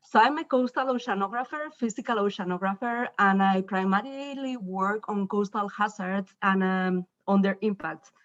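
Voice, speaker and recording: A woman from northern Spain with a Spanish accent, giving an academic keynote talk as a professor in a somewhat halting, self-repeating way. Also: medium pitch, no room sound, highs cut off. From northern Spain, Basque Country. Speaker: university professor, invited keynote speaker. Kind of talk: academic presentation.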